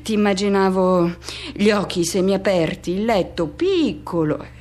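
A woman's voice: medium pitch, animated, measured pace, darkish tone, natural voice. An actress playing a man who recalls his first teenage love in a radio theatre monologue, in fragmented, repetitive, poetic phrases. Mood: tender, dreamy.

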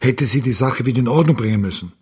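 An actor playing a stubborn, embittered suspect in a radio crime drama, with a husky, breathy voice. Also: male voice, medium pitch, quick pace, darkish tone. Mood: bitter, insistent. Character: stubborn, embittered male suspect, wounded adoptive father.

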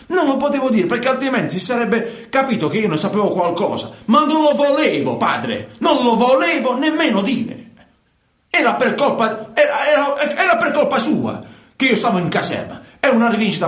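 An actor playing a guilty, self-justifying truck driver in a radio play, with a southern Italian accent and a halting, repetitive, colloquial delivery. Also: male voice, high-pitched. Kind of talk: radio theatre monologue, colloquial confession. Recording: echoey room.

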